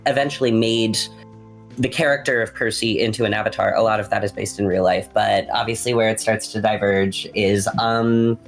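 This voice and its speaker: A woman's voice: rumbling low, fairly even intonation, normal speed, faint music behind. A woman explaining things reflectively and a little hesitantly in a casual group Q&A. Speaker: cast member and writer.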